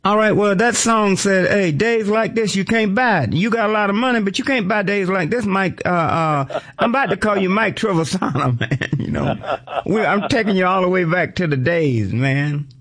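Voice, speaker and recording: Squeaky-voiced, exuberant radio host man. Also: normal speed. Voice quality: squeaky, high voice. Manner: exuberant, repeats catchphrases, shouts cues at the board. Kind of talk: lively talk radio hosting. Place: radio studio.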